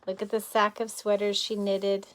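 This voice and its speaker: A woman's voice: mid-range voice, completely flat delivery, moderate pace, poor audio. A woman teacher reading a picture book aloud to young children in a playful way, with chatty asides and questions.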